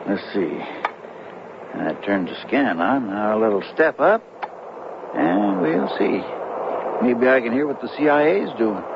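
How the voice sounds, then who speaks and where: A man acting in a radio drama as an earnest, excitable radio hobbyist and storyteller, stammering and defensive. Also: medium pitch, natural voice. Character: earnest, excitable male radio hobbyist known for tall tales. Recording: muffled radio recording with heavy noise.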